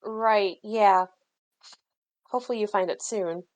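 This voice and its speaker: A woman with a medium-pitched voice improvises as a player in a tabletop roleplaying game. She sounds casual and offhand, slipping between describing her character and speaking as them. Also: steady tone, measured pace, a little mellow.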